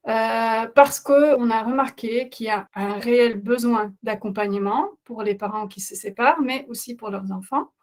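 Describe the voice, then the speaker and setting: A woman's voice: fairly high pitch, normal speed, hardly any pauses. A middle-aged woman with a non-native accent, hosting a webinar as a parenting coach, speaking earnestly with frequent hesitations. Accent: non-native speaker of French. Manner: hesitant, many filler sounds, earnest. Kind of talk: webinar presentation.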